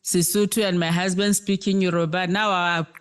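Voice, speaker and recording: A woman's voice: lowish voice, steady tone, moderate pace, smooth. A South African woman co-hosting a podcast, chatting casually and telling stories with light laughter. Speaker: podcast co-host. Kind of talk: casual chat.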